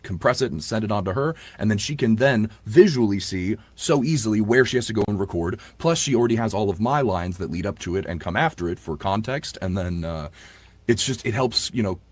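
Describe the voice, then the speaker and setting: A man who works as an audiobook narrator, chatting casually in an interview in a rambling, halting way with many restarted sentences. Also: fairly low pitch, animated, breathy edge, no echo.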